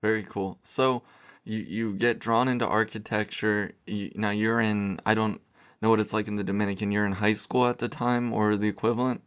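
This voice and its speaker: A man hosting an architecture podcast, introducing his guest and asking questions in a relaxed way. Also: on the low side, normal speed, clean tone. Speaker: podcast host. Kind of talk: podcast interview.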